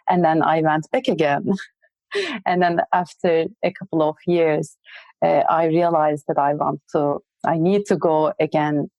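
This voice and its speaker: A woman's voice: lowish voice, darkish tone, no echo, rough recording. A woman from Turkey with a Turkish accent, a research guest answering interview questions hesitantly with frequent fillers.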